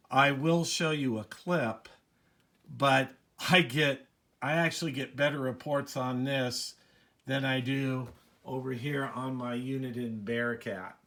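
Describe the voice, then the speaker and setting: A man giving a casual, unhurried, rambling demonstration as a hobbyist presenting a video. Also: medium pitch, fairly bright tone.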